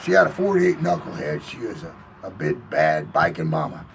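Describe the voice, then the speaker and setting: A man's voice: low voice, huge pitch swings, normal speed, gravelly, noisy background. A man telling a rambling, boastful tall tale in a folksy drawl, as if chatting on a porch. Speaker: storyteller in character. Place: outdoors on a porch.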